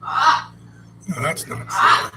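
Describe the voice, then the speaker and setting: A man's voice: sits high, huge pitch swings, extremely slow, noisy background. A man co-hosting a casual chat, telling stories in a blunt, salty, rambling way with frequent restarts. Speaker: co-host. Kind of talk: casual conversational storytelling.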